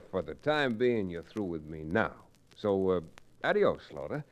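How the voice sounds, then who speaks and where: A man's voice: deep voice, a little slow, muffled recording. A man playing a cool, wry frontier hero with a folksy drawl in an old-time Western radio drama. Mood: wry, taunting. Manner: calm, dryly taunting, folksy.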